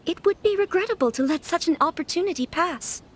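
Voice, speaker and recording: A woman's voice: very high voice, animated, fairly quick, heavy background noise. A woman playing a young noblewoman in a video game; she speaks formally and courteously, with small nervous stammers now and then. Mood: earnest.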